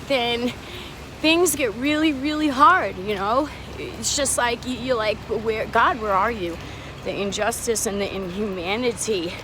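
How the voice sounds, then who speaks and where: A woman vlogging from her phone camera as she walks outdoors, talking to her viewers in an emotional, frustrated way and swearing often. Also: a little high. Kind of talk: walking street vlog talking to camera. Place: outdoors on a city street. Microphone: handheld phone camera. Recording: noisy outdoor street recording. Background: traffic and street noise.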